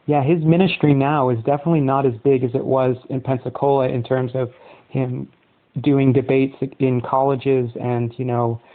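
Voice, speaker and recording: A man interviewing a guest by phone, asking questions in a measured, conversational way. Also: medium pitch, level tone. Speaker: interviewer, channel host. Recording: tinny phone line.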